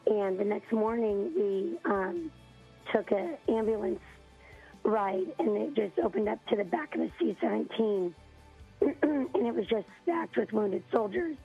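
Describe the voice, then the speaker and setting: A hoarse mother in her thirties calling in to a radio show, telling her story haltingly with many ums and throat-clearing. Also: medium pitch, expressive, soft background music. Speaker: parent on a radio call. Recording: tinny phone line.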